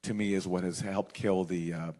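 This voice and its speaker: A man speaking as a panelist in a live political discussion, halting as he makes his points. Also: low-pitched, steady tone, dark tone. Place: live festival panel event.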